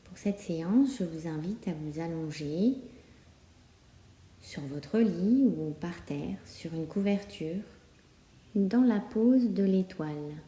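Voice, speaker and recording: Slow, very mellow woman guiding relaxation. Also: fairly low pitch. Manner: calm, soothing instruction with many pauses. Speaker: yoga nidra guide. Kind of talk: guided relaxation meditation. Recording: roomy, echoing sound.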